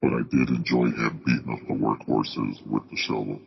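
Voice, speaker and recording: Casting: a very deep, fried, raspy hoarse persona on a lo-fi podcast. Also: male voice, little pitch movement, normal speed, a little hiss or hum. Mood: gruff. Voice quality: deep gravelly rasp. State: hoarse from screaming. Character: gravelly-voiced hoarse male alter ego "Chuck L Charlie". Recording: dull lo-fi podcast audio.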